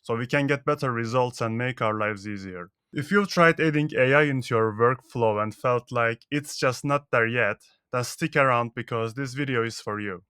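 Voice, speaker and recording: A man whose first language is Turkish walks through a scripted tutorial on his working methods. He moves from one tip to the next in a brisk, matter-of-fact voice. The mic is close and the sound is dry, with no room around it. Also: mid-range voice, pushed and bright, smooth, no echo. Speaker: software engineer.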